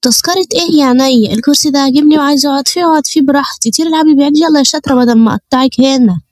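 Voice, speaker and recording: Woman doing a shrill bullying Egyptian old woman. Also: racing, darkish tone, dry recording, studio quality, close to an everyday voice. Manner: shrill, scolding, fake-pitiful. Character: brash bullying older woman on a train.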